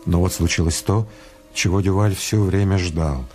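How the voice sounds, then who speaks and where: An actor playing the narrator of a radio detective drama, with a measured, literary delivery. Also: male voice, deep voice, fairly even intonation, a little mellow, soft background music, lightly acted.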